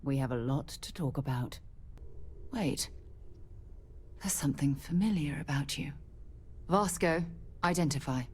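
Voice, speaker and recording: Low, husky, commanding leader. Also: female voice, a little mellow. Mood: composed, probing. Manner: measured, businesslike, commanding. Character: composed, authoritative female leader of explorers. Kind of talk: scripted video game dialogue.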